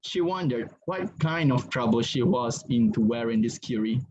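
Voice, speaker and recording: A man's voice: medium pitch, animated, moderate pace, darkish tone. A man narrating a story as a dramatic reading. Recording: dull lo-fi sound, background noise.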